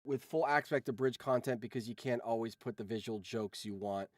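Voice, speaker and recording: A man's voice: medium pitch, a little fast, no echo. A young man hosting a casual livestream, talking in a rambling way that often trails off, close to the mic. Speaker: streamer and host.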